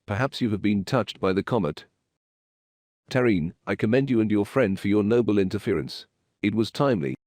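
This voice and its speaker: Stately low-voiced alien priest, played by a man. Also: a little mellow, no echo, studio quality, lightly acted. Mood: reverent, then gracious. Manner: stately, ceremonious, deliberate. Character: dignified alien high priest, grave, wise and mystical.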